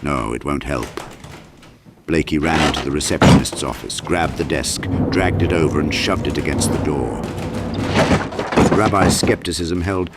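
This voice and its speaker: Deep-voiced man narrating a horror audiobook. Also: a little slow, very noisy. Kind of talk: dramatic scripted horror narration.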